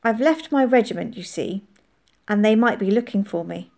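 A woman's voice: mid-range voice, expressive, normal speed, gravelly, warm tone. A woman voicing a polite, earnest soldier who speaks in careful, formal phrases in an audiobook. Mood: earnest, worried. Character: young soldier hiding in a barn, polite, guarded, earnest. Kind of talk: audiobook character voice.

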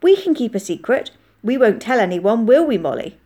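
A woman voicing an eager, curious young boy who questions excitedly in an audiobook. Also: extremely high pitch, brisk, pitched above the performer's own voice. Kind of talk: audiobook character voice.

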